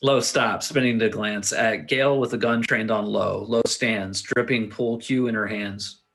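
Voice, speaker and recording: A man's voice: lowish voice, fairly even intonation, measured pace, gravelly, fairly bright tone. A man reading scripted action narration aloud at a table read. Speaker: stage directions reader.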